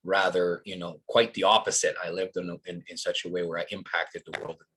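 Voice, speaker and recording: A man's voice: sits low, little pitch movement, fairly quick, fairly bright tone, husky. A man in his forties, a coach talking as a guest on a podcast, reflective and rambling, with many restarts. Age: 40s. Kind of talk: casual podcast conversation.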